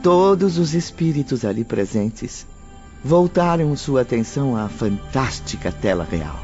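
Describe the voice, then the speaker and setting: Male narrator, solemn, dramatic audiobook delivery, lively pitch swings, muffled sound, loud music behind. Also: unhurried. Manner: solemn, theatrical.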